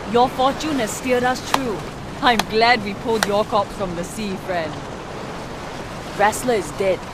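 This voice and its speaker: A woman's voice: a little high, noisy background, natural voice. Sly, roguish pirate woman played by an actress. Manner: theatrical, teasing, roguish. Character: sly, spirited female pirate first mate. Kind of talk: video game dialogue acting.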